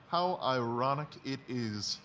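Man playing a wry male detective, forceful and bright, audio drama, faint noise behind. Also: mid-range voice, full character voice. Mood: wry amusement.

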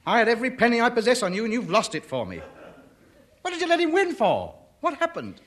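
An actor playing a pompous, exasperated and easily cowed employer in a theatrical 1950s radio sitcom. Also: male voice, very high-pitched, very animated, fast talker, fairly bright tone, full character voice. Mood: exasperated, indignant. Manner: theatrical, indignant, grovelling when beaten. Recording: noisy old radio recording.

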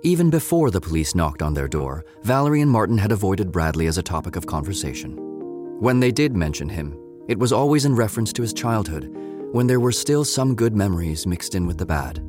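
A man's voice: low-pitched, very animated, a little fast, over music. A man reading scripted narration as the narrator of an audio drama.

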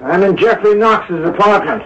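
An actor playing an urbane, confident amateur sleuth in a 1970s radio drama, polished, probing and gently needling. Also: male voice, high-pitched, animated, normal speed, slightly rough, heavily acted. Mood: confident, intent. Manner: polished, probing, lightly teasing. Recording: dull lo-fi old radio recording.

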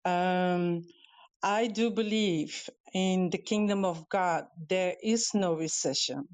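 Slow-spoken Dutch woman, low voice. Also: a little mellow, no echo. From Europe. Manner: slow, halting, with many fillers and restarts. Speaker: guest speaker. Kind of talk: casual talk on a live audio show. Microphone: calling in remotely.

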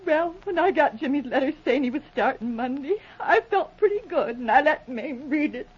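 A very high-pitched, timid young woman sounds meek, naive and anxious in her grief. She speaks deferentially in 1940s radio drama style, through a dull lo-fi recording. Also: lively intonation, normal speed. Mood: apologetic, anxious. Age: young adult.

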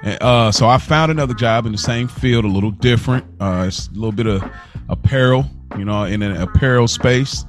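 A man's voice: mid-range voice, a little fast, faint music behind. A young adult man co-hosting a casual podcast, talking in an easygoing, rambling way. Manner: easygoing, rambling, restarts sentences. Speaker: podcast co-host.

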